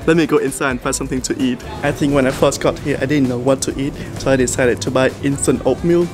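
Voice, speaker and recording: A young man from Vietnam chats to a handheld camera in a relaxed vlog. His pitch stays level and even, sitting mid-range for a man. Background music plays clearly beneath his voice. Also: fast. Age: 20s. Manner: chatty, animated storytelling to camera. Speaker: vlogger. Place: apartment room indoors, then city streets and a shop.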